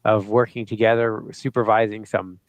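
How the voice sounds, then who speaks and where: A middle-aged man hosting a show, friendly and a little halting, with many fillers, speaking over a video call. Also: on the low side, fairly even intonation, no echo, highs cut off. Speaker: host. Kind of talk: interview hosting.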